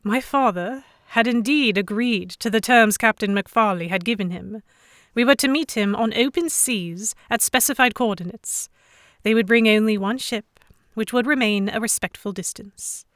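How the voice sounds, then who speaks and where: A woman playing an anxious, abducted young heroine, narrating a pirate romance audio drama in a measured, introspective, literary manner. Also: on the high side, a bit bright, dry recording, clean studio sound, natural voice. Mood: uneasy. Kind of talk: scripted first-person dramatic narration.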